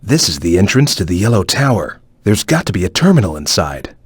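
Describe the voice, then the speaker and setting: A man voicing a stern, watchful cartoon ninja guide in a video game, with a measured, formal, cautious way of advising. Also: low-pitched, sing-song, slightly rough, no echo, studio quality, full character voice. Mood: cautious, instructive. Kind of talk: video game voice acting, short hint lines.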